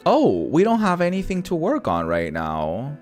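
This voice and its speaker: A man's voice: a little high, huge pitch swings, normal speed, soft background music. A man giving casual, chatty let's-play commentary in a playful, campy manner, reading bits of dialogue aloud. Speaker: video game streamer.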